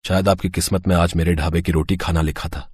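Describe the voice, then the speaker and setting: A man's voice: sits low, steady tone, quick pace, a little mellow, husky, no room sound. A man playing a poor, kind-hearted roadside eatery owner in a moral story, speaking in a plaintive, earnest way. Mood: humble, kind. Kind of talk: dramatised moral story acting.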